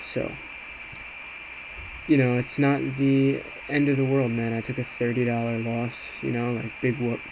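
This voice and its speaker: Man, mid-pitched, little intonation, conversational, hesitant, self-reflective, casual screen-share commentary. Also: measured pace. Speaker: amateur day trader recapping trades. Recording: clear steady background noise.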